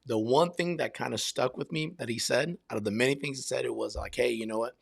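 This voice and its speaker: A man's voice: mid-range voice, quick pace, gravelly, husky, dry recording, clean studio sound. A man in his fifties from Brazil and Miami, answering interview questions in a casual, reflective way. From Brazil and Miami, United States. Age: 50s. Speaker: podcast guest, military veteran and realtor.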